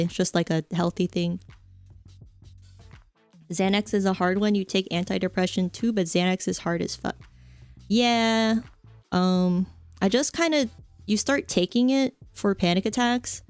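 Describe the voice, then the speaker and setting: A woman's voice: on the low side, a little mellow, smooth. A young adult woman streamer chatting casually, rambling with lots of fillers and offhand jokes. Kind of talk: casual chat stream, reading chat.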